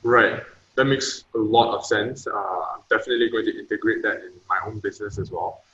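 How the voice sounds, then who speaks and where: A man's voice: on the low side, a little fast, pushed and bright. A young man hosting a podcast, asking casual, rambling questions full of fillers. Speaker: podcast host. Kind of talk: interviewing. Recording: roomy echo, dull lo-fi sound.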